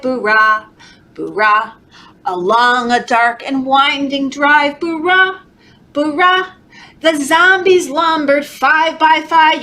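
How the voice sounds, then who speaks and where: A woman leading a children's story time, reading aloud in an animated, encouraging, sing-song way, as if talking to toddlers. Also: high-pitched. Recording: clearly noisy background.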